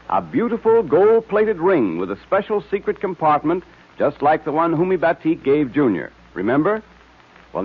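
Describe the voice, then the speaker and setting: A man works as announcer on an old-time radio serial. His delivery is emphatic and salesmanlike, swinging widely in pitch with some huskiness. The recording is tinny and narrow. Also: slightly rough. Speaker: announcer and narrator. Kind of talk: 1940s radio sponsor pitch and serial narration.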